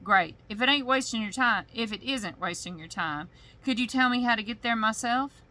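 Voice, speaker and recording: A woman voicing a persistent, deadpan male investigator in a bedtime story reading. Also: on the high side, normal speed, rough recording. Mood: blunt. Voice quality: clipped, deadpan. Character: dry, persistent male investigator, friend of the victim.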